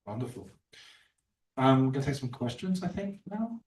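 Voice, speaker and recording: A man's voice: mid-range voice, big pitch swings, moderate pace, a little mellow. Rambling man in a roomy bookstore. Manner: rambling, many fillers and restarts, laughs often. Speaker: author presenting his book. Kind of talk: casual talk at a book event. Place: bookstore event room. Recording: roomy, distant from the mic.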